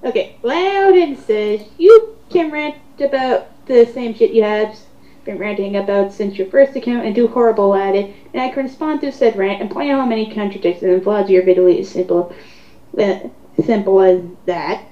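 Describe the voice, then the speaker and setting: A woman's voice: medium pitch, moderate pace, warm tone. A woman co-hosting a casual commentary, reading online comments aloud and remarking on them. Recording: roomy, dull lo-fi home recording.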